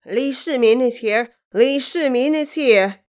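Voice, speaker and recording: A woman voicing vengeful headless ghosts of the slain, yelling desperate demands in an audio drama. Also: slow pace. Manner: shrill yelling, wailing demands.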